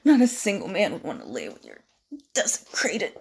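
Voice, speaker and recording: A woman's voice: on the low side, wildly swooping pitch, normal speed, gravelly, darkish tone, husky, exaggerated voice. A woman playing a volatile, domineering, vengeful villainess in a dramatic audio roleplay. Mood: gloating, cruel.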